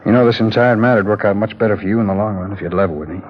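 An actor playing a confident drug dealer in a 1950s radio drama, pressing and smooth. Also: male voice, on the low side, rapid-fire, natural voice. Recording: dull lo-fi old radio broadcast.

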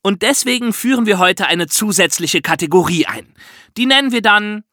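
A man with a bright, slightly high voice and very animated intonation co-hosts a podcast. He tells stories in a chatty, colloquial way, recorded dry and close. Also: normal speed, no room sound. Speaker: podcast co-host. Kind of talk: lively conversational podcast presenting.

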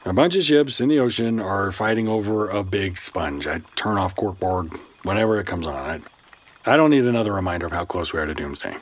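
A skeptical, bitter grown son in a science fiction audio drama. His man's voice is fairly low, with lively swings in intonation. He sounds dry, sarcastic and reluctant to be won over. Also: brisk. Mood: weary, uneasy.

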